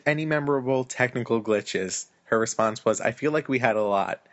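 A man's voice: fairly low pitch, dry recording. A man hosting a podcast, reading interview answers aloud from a script with the odd chuckle. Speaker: podcast host reading fan questions. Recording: slightly dull dry recording.

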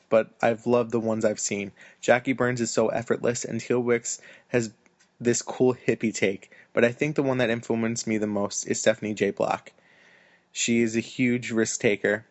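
A man's voice: on the low side, no echo. A man hosting a podcast, reading interview answers aloud from a script with the odd chuckle. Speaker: podcast host reading fan questions. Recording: slightly dull dry recording.

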